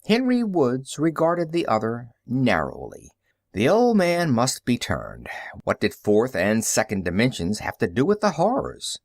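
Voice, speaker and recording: Male audiobook narrator, medium pitch, highly animated range, dry close sound. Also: measured pace, no room sound. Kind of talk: scripted story narration with character dialogue.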